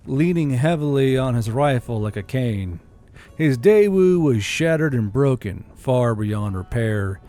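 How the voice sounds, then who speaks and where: Man, audiobook narrator, mid-pitched, mellow tone, scripted storytelling, faint music underneath. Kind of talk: scripted audiobook narration of fantasy war fiction.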